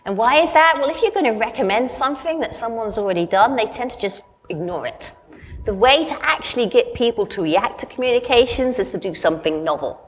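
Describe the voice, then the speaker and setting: A woman's voice: fairly high pitch, animated, fairly quick. A woman professor on a conference panel, explaining research in an upbeat, optimistic way. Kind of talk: panel discussion. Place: conference hall. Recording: reverberant conference hall, faint noise.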